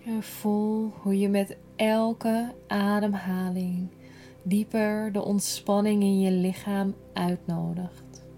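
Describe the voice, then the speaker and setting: A woman guiding a yoga nidra relaxation in a gentle, soothing, unhurried manner, breathy at times. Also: mid-range voice, little pitch movement, faint music behind. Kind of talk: slow guided relaxation meditation.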